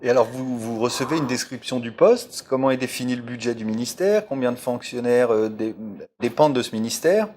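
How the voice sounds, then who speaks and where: A man's voice: medium pitch, fairly quick, a little mellow. Man interviewer, formal questions.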